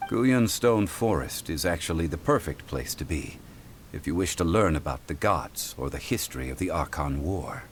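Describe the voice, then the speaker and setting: An actor playing a composed, erudite gentleman scholar in a video game, measured, formal and patient as he explains. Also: low voice, lively intonation, a little hiss or hum. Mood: calm, explaining. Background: clear game music.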